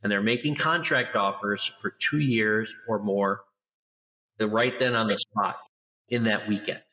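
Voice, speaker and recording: Loud middle-aged guy telling stories, deep but bright voice, long reverb tail. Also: measured pace. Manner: loud, animated storyteller. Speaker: guest, international school educator. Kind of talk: conversational interview.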